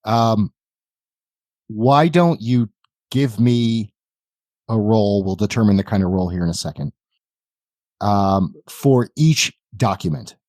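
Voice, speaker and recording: A man improvising narration as a tabletop game master in a halting, deliberate, measured manner. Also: lowish voice, a little mellow, dry recording.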